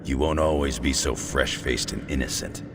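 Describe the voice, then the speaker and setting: Grizzled, blunt game hero; bottom-of-the-range pitch, gravelly fry. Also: male voice, normal speed, over music. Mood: gruff, blunt. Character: gruff, blunt veteran hero lecturing younger teammates. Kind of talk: animated video game cutscene acting.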